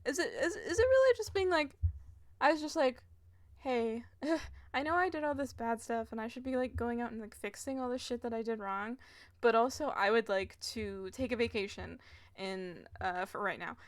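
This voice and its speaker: A young woman with a fairly high voice chats as a game streamer. She rambles casually, exclaims and swears in a giggly way. The sound is dry and close. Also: normal speed, no room sound. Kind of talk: casual livestream commentary over a game.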